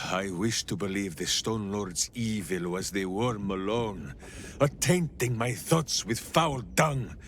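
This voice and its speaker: A man's voice: on the low side, slow, a bit bright, a little hiss or hum. A man playing a big-hearted, simple warrior ranger in a video game, bombastic and oddly formal. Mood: solemn confession. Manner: bombastic, refers to himself in third person, oddly formal phrasing. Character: big-hearted, simple heroic ranger warrior who talks through his hamster. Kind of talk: theatrical video game character acting. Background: background music.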